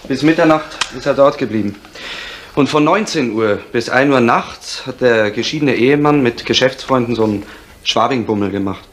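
An actor playing a sober police investigator in a 1970s German radio crime drama. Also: male voice, mid-range voice, moderate pace, lightly acted. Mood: factual. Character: sober male police investigator reporting facts. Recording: faintly noisy old radio recording.

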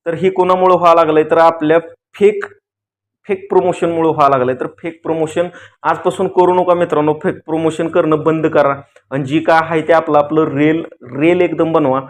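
A man's voice: fairly high pitch, flat delivery, a little fast. A man with a rural Maharashtrian Marathi accent talks casually to his viewers as a YouTuber, giving earnest, colloquial advice and repeating phrases for emphasis. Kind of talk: casual vlog talk addressed to viewers.

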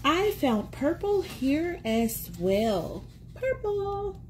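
Woman, 30s, children's read-aloud host. Lively swooping intonation, soft mellow tone, encouraging, sing-song; home phone recording with noise. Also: extremely high pitch, extremely slow. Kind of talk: animated picture book read-aloud for young children. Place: small indoor room at home. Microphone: phone or tablet at arm's length.

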